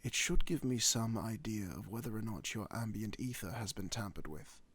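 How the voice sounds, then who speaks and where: A man playing a courteous werewolf doctor in a fantasy ASMR roleplay, speaking formally and reassuringly with old-fashioned wording and a husky voice. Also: lowish voice, moderate pace, a bit bright. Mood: inquisitive, calm.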